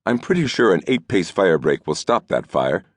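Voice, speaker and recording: A man voicing a young lord who commands a fire brigade in an audiobook, formal, brisk and decisive. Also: low voice, big pitch swings, no room sound. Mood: urgent, commanding. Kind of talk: audiobook character dialogue.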